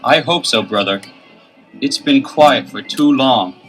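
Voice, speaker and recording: An actor playing a keen, confident teenage detective brother in a 1970s children's audio adventure, earnest and emphatic. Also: male voice, medium pitch, takes it slowly, music in the background, lightly acted.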